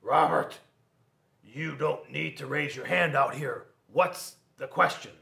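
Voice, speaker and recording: A man's voice: fairly high pitch, slow pace, vocal fry, bright, forceful tone, exaggerated voice. A man voicing a strict, bossy woman schoolteacher in a storybook, with a commanding, emphatic manner. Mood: stern, formal. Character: stern, bossy female schoolteacher, loud and strict.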